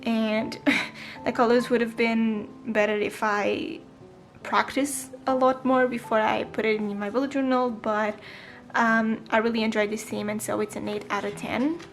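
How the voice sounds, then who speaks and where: A woman's voice: fairly high pitch, flat delivery, a little slow, faint music behind. A twenty-year-old woman chatting casually in a voice-over, with frequent ums and small self-corrections. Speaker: planner vlogger. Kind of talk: casual voice-over flip-through.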